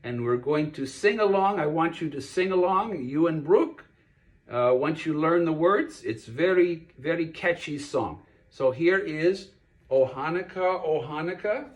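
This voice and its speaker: A man's voice: fairly high pitch, expressive, unhurried. A man presenting a children's puppet show, speaking directly to a child and explaining things simply. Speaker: puppet show performer and host.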